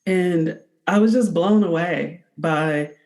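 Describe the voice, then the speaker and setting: A woman's voice: fairly low pitch, slow pace, darkish tone. A woman in her forties answering interview questions as a podcast guest, explaining things earnestly in a conversational way. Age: 40s. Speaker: podcast guest, company founder.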